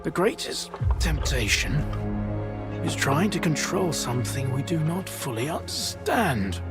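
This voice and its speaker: An actor playing a philosophical older doctor in a video game, speaking in a measured, solemn, cryptic way. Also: male voice, mid-range voice. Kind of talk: dramatic video game cutscene acting.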